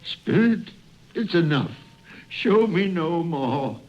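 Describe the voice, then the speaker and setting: Old miser, high-pitched, slow and begging, muffled old radio recording. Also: male voice, lively intonation. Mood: desperate pleading. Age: older. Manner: pleading, agitated, slow. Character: anguished old miser pleading with a spirit. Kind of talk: 1930s radio acting. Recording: muffled vintage radio broadcast, faint music.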